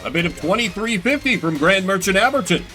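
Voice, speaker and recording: Guy doing a showy video game auctioneer, formal, pitched up, lively swings, music under. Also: a little fast. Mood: brisk, rising excitement. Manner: crisp, formal announcing.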